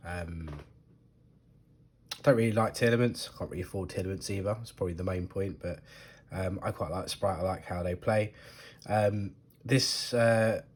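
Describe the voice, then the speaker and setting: A lower-voiced man, nasal and snuffly from a head cold. He talks casually and haltingly, stopping often on ums and restarting his sentences. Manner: hesitant, full of ums and restarts. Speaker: card game deck reviewer. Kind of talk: casual talk to camera.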